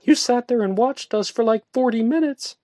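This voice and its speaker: A woman reading a story aloud and voicing a distraught, volatile young woman who pleads and lashes out in shrill outbursts. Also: measured pace, mellow, no echo, studio quality. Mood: angry.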